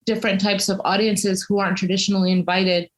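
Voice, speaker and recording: This is a woman being interviewed as a cultural consultant and academic. She explains things conversationally but carefully. Her sentences are long, measured and articulate, full of detail. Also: medium pitch, little pitch movement.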